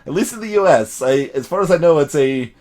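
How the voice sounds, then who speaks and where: A man's voice: fairly high pitch, expressive, brisk. A man hosting a video game let's play, mixing acted dialogue with joking, digressive asides. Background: soft game music.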